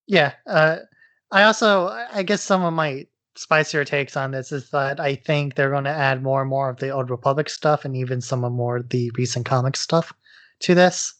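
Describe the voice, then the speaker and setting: A man's voice: medium pitch, fairly even intonation, moderate pace, a bit bright, dry recording. Man co-hosting a podcast, dry close sound. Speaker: podcast co-host. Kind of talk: casual podcast discussion.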